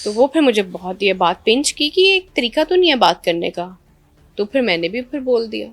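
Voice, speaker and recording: High-voiced young Pakistani woman, emphatic. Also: big pitch swings, moderate pace, no echo. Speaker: interview guest, dhol player. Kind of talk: candid podcast conversation.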